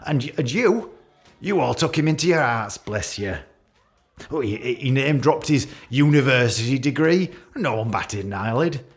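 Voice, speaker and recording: A man's voice: mid-range voice, lively intonation, normal speed, lightly acted. A man playing an awkward, catty office boss with a British accent, giving a hesitant, rambling, jokey leaving speech in a radio play. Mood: fond, rambling. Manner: hesitant, repetitive, jokey with barbed asides.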